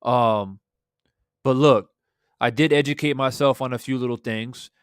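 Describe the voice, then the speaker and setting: Hoarse man hosting a livestream. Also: mid-range voice, steady tone, a little slow, dry recording, studio quality. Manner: laid-back, shouting out chat viewers, swears freely. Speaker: boxing livestream host. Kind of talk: casual livestream chat. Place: small home room, indoors. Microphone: studio desk mic with headphones.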